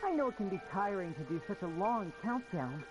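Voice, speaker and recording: A confident young stage magician, theatrical and playful with courteous showman polish. His light voice moves in lively, animated swings over strong game music. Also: sits high, brisk, low-quality recording. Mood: assured. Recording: game audio with loud music behind.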